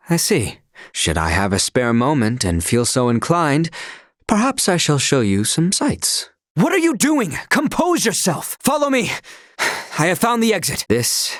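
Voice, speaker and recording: Proud, courtly young knight, swooping pitch. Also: male voice, no room sound, clean studio sound. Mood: commanding, then earnest. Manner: stiff, lofty, courtly diction. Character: proud, formal young knight devoted to his princess. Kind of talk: video game character voice lines, scripted archaic speech.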